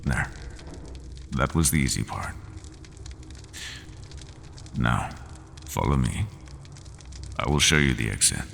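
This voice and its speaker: A man voicing a resigned, half-human horned monster in an audio roleplay, speaking slowly and formally without contractions. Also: lively intonation, fairly bright tone, slight background noise, heavily acted. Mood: pleading. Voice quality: very deep and gravelly. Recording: long hall reverb, faint ambience.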